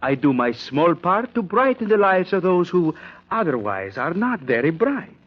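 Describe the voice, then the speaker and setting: Fussy precise little gem seller, old radio drama, voice swoops all over, dull lo-fi sound. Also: male voice, high voice, very animated, moderate pace. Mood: fussy, formal. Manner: pedantic, hesitant, formally worded. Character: fussy, precise male artificial-gem maker, self-important. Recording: muffled vintage radio recording.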